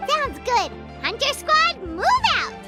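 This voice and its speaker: An actress voicing a tiny, childlike, food-loving video game companion, squeaky, bubbly and excitable. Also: female voice, slow pace, exaggerated voice. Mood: excited. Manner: bubbly, exclaiming, refers to self by name. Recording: loud music behind the voice.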